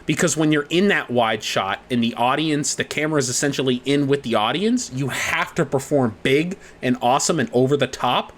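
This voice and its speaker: A man's voice: medium pitch, brisk, hardly any pauses, fairly bright tone. A young man co-hosting a podcast, explaining at length in a casual way, swearing freely. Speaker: podcast co-host.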